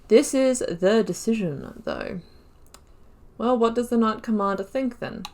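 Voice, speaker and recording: A woman gaming YouTuber giving casual, chatty commentary as she plays through a role-playing game, reacting out loud. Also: medium pitch. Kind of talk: casual let's-play commentary.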